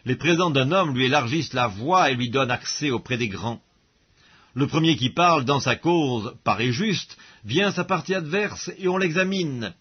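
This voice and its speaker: A man reads scripture aloud as an audiobook narrator, solemn and measured, with formal diction, on a dull recording. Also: medium pitch, animated, breathy edge.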